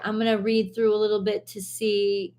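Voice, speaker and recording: A hoarse woman hosting a live tarot stream, talking casually and bluntly, swearing and losing her train of thought as she vents. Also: mid-range voice, flat delivery, moderate pace, dark tone.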